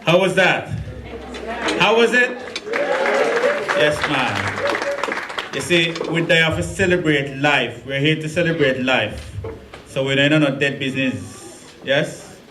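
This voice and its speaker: A man's voice: a little high, lively intonation, takes it slowly, pauses often. A man with a hosting a live event, calling out to the audience and introducing the next poet. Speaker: event host. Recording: echoey hall, crowd noise.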